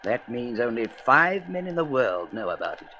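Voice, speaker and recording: A man's voice: medium pitch, sing-song, moderate pace, pushed and bright, highs cut off, slight background noise. A man playing a courteous, grave president of a space council in a radio serial, formal and emphatic. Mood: grave urgency. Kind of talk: vintage science-fiction radio serial acting.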